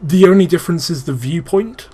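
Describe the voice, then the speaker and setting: A man's voice: fairly high pitch, fairly quick, warm tone, husky. A man giving casual, informal gaming commentary as a let's play host, swearing freely and explaining technical details.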